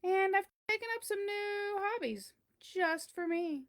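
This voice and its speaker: A woman's voice: very high-pitched, unhurried, pitched above the performer's own voice. A woman voicing a boastful big cheese learning humility, telling its own picture-book story in a theatrical, emphatic way. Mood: upbeat, proud. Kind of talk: first-person picture-book read-aloud.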